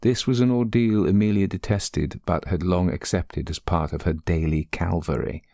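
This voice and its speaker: Male storyteller for gothic horror: low, creaky voice, deliberate and literary delivery. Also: fairly even intonation, warm tone, no room sound, studio quality. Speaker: narrator. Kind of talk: dramatic ghost story narration.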